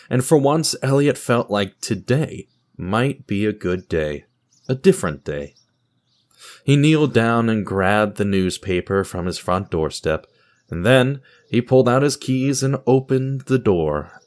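A man's voice: on the low side, lively intonation, unhurried. A man narrating a scripted audio drama in a theatrical, storybook style, with a wry touch.

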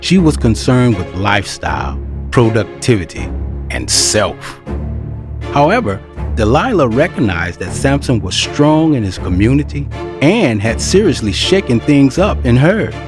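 A man's voice: medium pitch, very animated, a little slow. A man narrating an audio drama as a storyteller, with wry, colloquial asides. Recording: music bed behind narration. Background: music.

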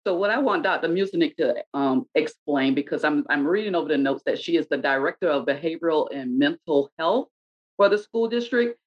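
A woman moderates a panel in a low, easy voice, asking rambling, conversational questions. The audio is dull and muffled, as though the highs were cut off. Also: fairly quick. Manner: conversational, asks questions at length.

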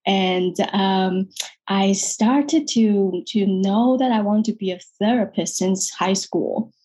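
Woman from taiwan, mellow slightly breathy voice, talks haltingly w lots of restarts. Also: medium pitch. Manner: hesitant, many restarts and fillers, laughs easily. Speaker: guest psychologist. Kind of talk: casual interview chat.

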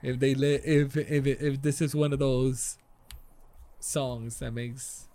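A man co-hosting a podcast in casual chat, excitable and quick to laugh as he runs the tech segment. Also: medium pitch.

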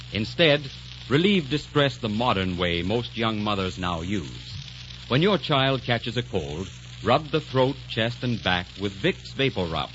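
A man's voice: medium pitch, a bit bright. A man announcing and reading a commercial in a 1940s radio broadcast, persuasive and emphatic. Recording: dull lo-fi 1940s radio broadcast with faint hiss.

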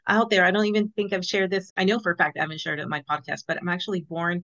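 Lower-pitched woman in her fifties, slightly muffled. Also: little pitch movement, very fast, fairly bright tone. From born in El Salvador, grew up in Los Angeles. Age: 50s. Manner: conversational, peppered with "you know". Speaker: podcast guest. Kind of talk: casual personal storytelling.

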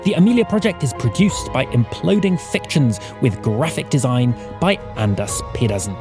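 Male podcast announcer, scripted credits read, lively intonation, music underneath. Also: medium pitch, normal speed. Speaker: announcer reading outro and credits. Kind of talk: scripted narration.

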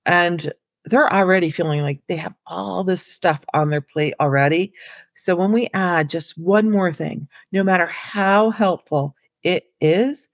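A woman hosting a solo podcast for school counselors, her voice hoarse and raspy, talking casually and encouragingly to her listeners as friends. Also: fairly low pitch, measured pace, no echo.

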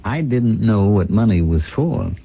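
A middle-aged rich idler hungry for power, in vintage radio drama style. His low, husky voice swings widely as he broods and declaims. The recording is thin and phone-like. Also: expressive, measured pace, heavily acted. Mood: wry, confiding. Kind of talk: 1970s radio drama acting. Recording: muffled, phone-like old radio broadcast.

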